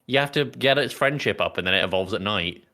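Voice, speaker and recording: A man's voice: fairly low pitch, expressive, fast, pushed and bright. A man co-hosting a podcast, explaining his point in casual chat with earnest persistence. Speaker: podcast co-host.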